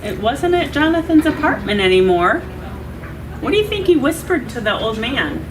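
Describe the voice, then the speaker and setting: A woman reading a children's story aloud to a group, with expressive, emphatic delivery. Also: high voice, normal speed, noisy background.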